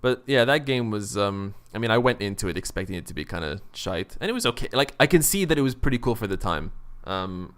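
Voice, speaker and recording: A man's voice: fairly low pitch, sing-song, fast, hardly any pauses, fairly bright tone, smooth. A man hosting a casual gaming podcast, talking in a loose, joking way. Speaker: podcast host.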